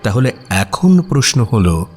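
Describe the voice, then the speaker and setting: An actor playing a detective's observant companion, narrating a mystery as a scripted audio story with expressive, suspenseful delivery. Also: male voice, mid-range voice, a little slow, husky.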